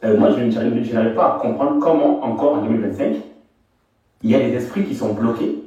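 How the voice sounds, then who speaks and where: A hesitant man from Mali who keeps restarting his sentences as he makes his point. His voice is dark and mellow, and it sounds far from the mic in a very echoey space. The recording is dull and lo-fi. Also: medium pitch, fairly quick. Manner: hesitant, repeats words. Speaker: caller. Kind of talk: live online debate.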